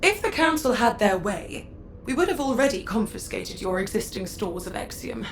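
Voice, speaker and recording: A woman's voice: fairly high pitch, animated, normal speed, noisy background. A woman voicing a haughty council magistrate in a sci-fi video game, formal and condescending. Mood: smug.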